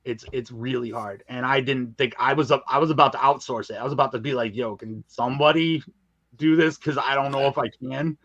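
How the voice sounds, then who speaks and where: Man, medium pitch, casual interview guest, emphatic, repetitive phrasing, slangy, dry room sound. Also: fairly quick, a bit bright, dry recording, dull audio. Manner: emphatic, repeats phrases, informal slangy wording. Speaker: guest, audio drama creator and narrator. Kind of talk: casual podcast interview chat.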